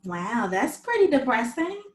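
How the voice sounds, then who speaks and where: A woman's voice: a little high, big pitch swings, a little slow. A woman playing a breezy, pushy young mother, perky and persuasive, in a screenplay table read. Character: Tiffany, breezy, pushy young mother wheedling her friend. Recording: roomy, echoing sound.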